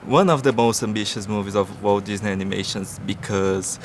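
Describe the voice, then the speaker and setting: A man's voice: on the low side, normal speed, a little hiss or hum. A man interviewing a guest at a press event, asking eager, friendly questions. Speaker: entertainment interviewer.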